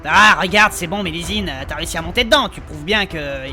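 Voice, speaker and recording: A woman voicing a small, lovestruck, bumbling male duck in a comic fantasy audio drama, excitable and bashful. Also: lowish voice, a little fast, natural voice. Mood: tender, awkward. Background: music.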